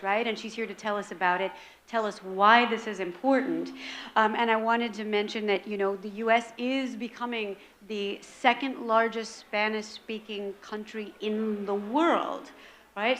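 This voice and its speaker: A woman hosting an event, introducing the next speaker in a lively stage introduction and rallying the audience. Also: fairly high pitch, a little hiss or hum. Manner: upbeat, rallies the audience.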